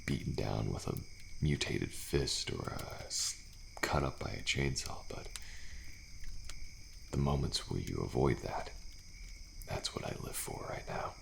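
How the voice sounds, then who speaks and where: A jaded, thrill-seeking survivor played by a man rumbles in a very deep, heavily gravelled voice. He drawls slowly and lazily, pausing and sighing, in an intimate audio roleplay. Crickets and faint campfire noise sit behind him. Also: expressive, heavily acted. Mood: wry, fatalistic. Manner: slow, drawling, laid-back, with pauses and sighs. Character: weary, thrill-seeking male survivor of endless killer trials. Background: campfire ambience with crickets.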